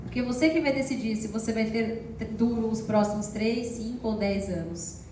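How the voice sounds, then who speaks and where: A Brazilian woman interprets a speaker phrase by phrase, quick and brisk, her voice sitting a little high. She is heard from a distance in a large hall full of echo, with faint noise behind. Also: warm tone. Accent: Brazilian Portuguese. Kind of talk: live consecutive interpreting on stage.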